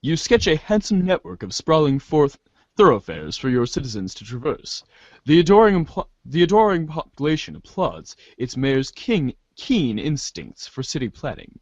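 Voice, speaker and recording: A slightly high-voiced man reads a story out loud with theatrical flair, tripping over phrases and restarting. The recording is dry and somewhat muffled. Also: very animated, moderate pace, no echo. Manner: theatrical, often stumbles and restarts while reading. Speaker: narrator in a fan readers' theater show. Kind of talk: dramatic read-aloud of a webcomic.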